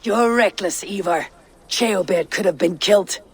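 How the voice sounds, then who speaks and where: An actress playing a stern, battle-hardened female Viking raider in a video game, with a low, gruff voice and terse delivery. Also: unhurried, close to an everyday voice. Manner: terse, commanding. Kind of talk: dramatic video game acting.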